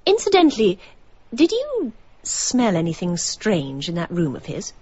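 Catty, animated wife. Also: female voice, mid-range voice, measured pace, breathy edge, muffled recording, full character voice. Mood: sly, bitter. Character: bored, catty, discontented wife. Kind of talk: radio drama acting.

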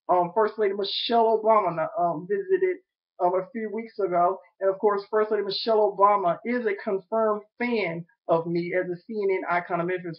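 A young man talking earnestly and repetitively to his webcam from a small room at home, pitching an idea. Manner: earnest, repetitive, self-promoting. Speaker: vlogger. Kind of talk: rambling webcam monologue. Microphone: webcam at desk. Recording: dull lo-fi webcam audio.

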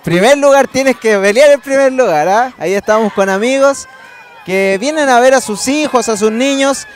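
A man's voice: extremely high pitch, normal speed, slight background noise. A Chilean man in his twenties, a field reporter interviewing informally and chattily into a handheld microphone outdoors. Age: 20s. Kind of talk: casual on-location interviewing. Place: outdoors beside a swimming pool.

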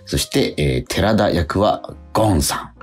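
Low, husky male radio host. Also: animated, measured pace, faint music behind. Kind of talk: casual radio chat with cast credits.

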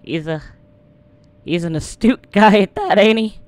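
A young adult gaming streamer with a partly lost voice, chatting casually and reading game text aloud into a close boom mic in a small home room. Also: lively intonation, measured pace, no echo. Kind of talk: casual let's-play commentary. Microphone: boom mic on arm, close.

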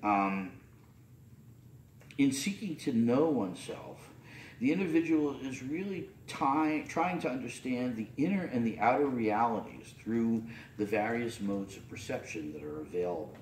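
A man's voice: mid-range voice, lively intonation, unhurried, darkish tone, a little hiss or hum. Middle-aged man preaching in a roomy chapel. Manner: loose, unscripted preaching with many fillers and restarts. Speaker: priest. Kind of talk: sermon. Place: small chapel, at a pulpit. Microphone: camera at a distance. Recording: roomy, distant camera audio.

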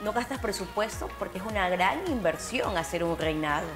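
A woman's voice: mid-range voice, animated, a little fast. Woman studio co-host, talkative and opinionated, music under her voice. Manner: opinionated, conversational. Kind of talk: studio news chat. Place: TV studio, indoors.

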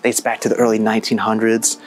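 A young man vlogging casually as he walks through an abandoned mansion, chatty and excitable. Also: lowish voice, quick pace, slight background noise, poor audio. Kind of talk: casual walkthrough vlog. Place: indoors in an abandoned house.

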